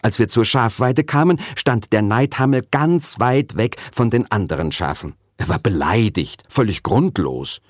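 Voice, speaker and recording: A man's voice: lowish voice, animated, unhurried, few pauses, no echo, clean studio sound, pitched above the performer's own voice. A man voicing an earnest, cheeky schoolgirl who tells tall tales insistently in a children's storybook reading. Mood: eager telling.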